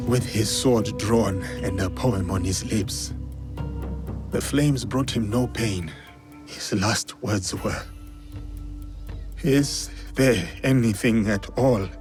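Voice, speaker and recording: Low-voiced solemn samurai, loud music. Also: male voice, slow pace, long pauses. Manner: terse, solemn. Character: foreign-born samurai warrior, stoic, loyal and grave. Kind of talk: video game cutscene acting.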